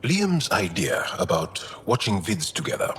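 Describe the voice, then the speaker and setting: A man playing a gruff, measured alien crewmate in a video game, with a raspy, halting, understated delivery. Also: deep voice, big pitch swings, heavily acted. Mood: thoughtful, dry. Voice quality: very raspy, gravelly.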